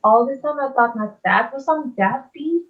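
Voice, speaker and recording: A woman's voice: sits high, moderate pace, phone-quality sound. A woman playing a sceptical, bewildered young boy hero in an online table read of a stage musical. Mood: unsure, sincere.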